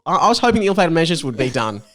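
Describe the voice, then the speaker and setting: A man's voice: a little high, sing-song, very fast, a bit bright. An Australian man as a comedy podcast guest, chatting casually and reading aloud with jokey theatrical touches.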